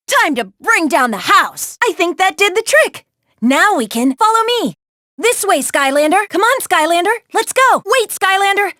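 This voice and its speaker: A woman's voice: very high voice, bright, forceful tone, no room sound, clean studio sound, heavily acted. An actress voicing a plucky, encouraging young adventurer woman who guides a hero in a video game. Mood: urgent, guiding. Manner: encouraging, instructive. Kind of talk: animated video game character dialogue.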